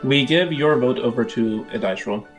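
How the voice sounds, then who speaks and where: A man narrating a tabletop roleplaying game as game master, in a measured, descriptive storytelling manner. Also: mid-range voice. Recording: music under the voice. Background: background music.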